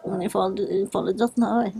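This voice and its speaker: A woman's voice: mid-range voice, fairly even intonation, normal speed, vocal fry, mellow, rough recording. A woman with a rural Bangladeshi accent, recalling family life in casual talk, with some background noise. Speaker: guest, relative at a family reunion.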